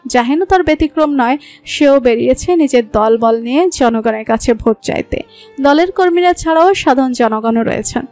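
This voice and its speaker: A woman narrates a scripted romantic story in a Bangladeshi accent. Her voice is distinctly high, and quiet music sits underneath the reading.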